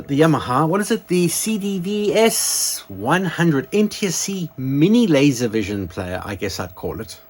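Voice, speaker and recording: Casual man with a lively, swooping voice. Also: fairly high pitch. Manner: chatty, musing aloud with self-corrections. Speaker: video channel host. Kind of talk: casual show-and-tell product demonstration.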